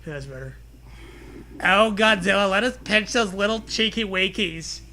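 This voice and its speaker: A man with a high, bright voice riffs casually as a co-host. He is sarcastic and mock-dramatic, with a crude sense of humour. There is faint noise on the home recording. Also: lively intonation, measured pace. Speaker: co-host reacting to a read story. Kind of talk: casual riffing commentary.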